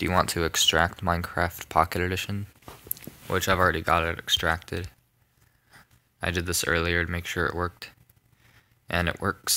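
A young man narrating a casual phone tutorial, rambling, with many ums and self-corrections, in a soft voice. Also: low voice, slight background noise. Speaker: tutorial maker. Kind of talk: casual screen-recorded tutorial.

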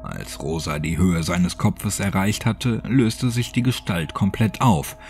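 Male horror narrator, low register, measured and serious scripted read. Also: darkish tone. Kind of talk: scripted audiobook narration of a creepypasta. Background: background music.

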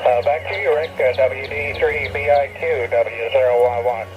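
Over a shortwave radio, an American man rambles haltingly in a casual amateur radio chat. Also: medium pitch, steady tone, music in the background. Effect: shortwave radio transmission. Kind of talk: casual ham radio rag chew. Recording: noisy, muffled shortwave radio reception. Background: loud radio static.

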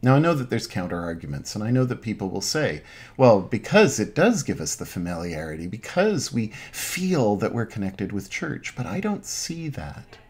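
A middle-aged man, an Anglican priest, giving an informal online sermon from his home den in measured, halting phrases. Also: on the low side, very animated, darkish tone, husky. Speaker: Anglican priest, parish pastor. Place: small home den with bookshelves.

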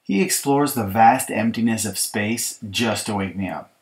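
A man's voice: lowish voice, measured pace, a little hiss or hum. A man reading a children's picture book as an exasperated young girl complaining about her bratty little brother, emphatic and mock-aggrieved. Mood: dramatic complaint. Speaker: author reading his audiobook.

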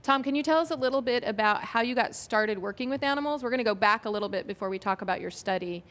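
A woman in her forties hosting a pet podcast, chatting in a friendly way with speeding and slowing pace, on a lapel mic in a bright studio. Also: a little high. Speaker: podcast host. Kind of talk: casual chat.

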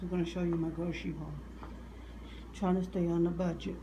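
A woman vlogger showing off her grocery haul in her home kitchen, listing items one by one with halting restarts, filming on a handheld phone. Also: on the low side, little pitch movement, dark tone, a little hiss or hum, poor audio. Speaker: couponing vlogger. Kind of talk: casual grocery haul show-and-tell. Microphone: handheld phone camera filming the table.

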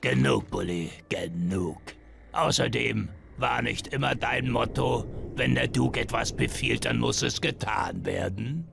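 An actor playing a sneering, menacing brawler in a video game, with a very gravelly voice. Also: male voice, mid-range voice, a little slow, background noise. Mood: sneering menace.

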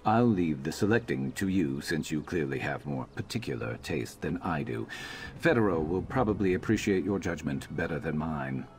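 Reptilian outlaw companion for a fantasy game: extremely low, guarded, dismissive, dry delivery. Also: male voice, expressive, moderate pace. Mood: grudging. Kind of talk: fantasy video game dialogue.

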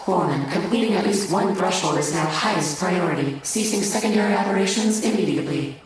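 A woman's voice: lowish voice, fairly quick, close to an everyday voice. A woman voicing a cold alien ship intelligence in a game cutscene, speaking in formal, clipped reports. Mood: cold, urgent. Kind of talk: scripted game cutscene acting. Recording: very echoey, distant, noisy background ambience.

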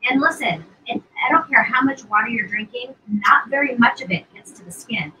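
A woman in her fifties pitching skincare on a live stream, chatty, with plenty of fillers and restarts. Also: lively intonation, fairly quick, sharp tone. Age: 50s. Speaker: co-host of a live sales stream. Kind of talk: casual live product pitch. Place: indoor studio set at a table. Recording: distant mic with faint hiss.

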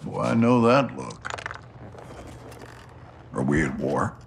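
An actor playing a gruff, impatient older US Army general with an American accent, blunt and curt. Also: male voice, mid-range voice, very animated, slow pace, slight background noise, exaggerated voice. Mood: wry, terse. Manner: blunt, curt, barking orders.

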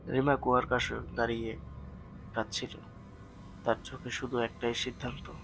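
A man narrates a dramatic horror tale in a medium-pitched voice. His sound is sharp and bright with a clear gravelly creak, and a faint bed of music runs behind his suspenseful delivery. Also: level tone, normal speed, low-quality recording. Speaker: horror story narrator and channel host. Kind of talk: dramatic scripted ghost story narration.

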